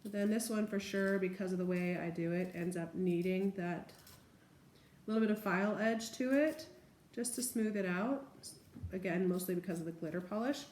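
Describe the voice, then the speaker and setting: A woman talks through a hands-on demonstration in a casual, chatty way. Her voice sits at an average pitch. The recording sounds like a home room, with noticeable echo and some light noise. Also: moderate pace, darkish tone. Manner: chatty, informal, narrates each step. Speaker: nail tutorial vlogger. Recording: echoey room with faint background noise.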